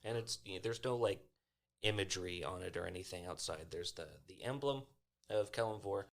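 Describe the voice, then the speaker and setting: A man's voice: deep voice, a little fast, creaky. A man playing a tabletop game, casually describing what his character does.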